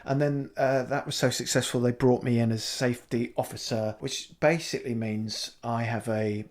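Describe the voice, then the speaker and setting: A man improvising a fake life story as a comedy podcast guest, rambling in a deadpan way with many restarts. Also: mid-range voice, moderate pace. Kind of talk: improvised comic interview.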